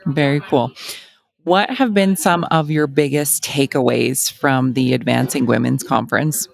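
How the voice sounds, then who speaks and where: A woman's voice: very deep voice, unhurried, creaky, no room sound. A woman, speaking as a podcast host and interviewer.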